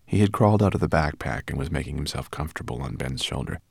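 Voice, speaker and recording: A man narrating an audiobook in an expressive, animated storytelling manner. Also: a bass voice, fast talker, vocal fry, slightly rough, dry recording, studio quality.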